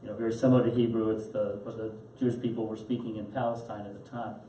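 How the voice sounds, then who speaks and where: A man teaching a class and answering questions in a thoughtful, halting way. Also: fairly low pitch, flat delivery, mellow. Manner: thoughtful, halting with fillers and restarts. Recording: roomy echo, mic at a distance, faint hiss.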